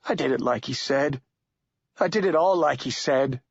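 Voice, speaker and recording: A man voicing a frightened, desperate male drifter in a storybook, pleading and agitated in slangy speech with a husky voice. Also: medium pitch, normal speed, no echo, highs cut off, pitched above the performer's own voice.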